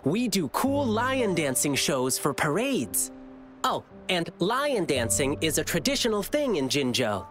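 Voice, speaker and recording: A man's voice: fairly high pitch, big pitch swings, unhurried, fairly bright tone, soft background music. An actor voicing a cheerful, eager young lion-eared boy performer in a video game, friendly and bubbly. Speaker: lion dance troupe performer.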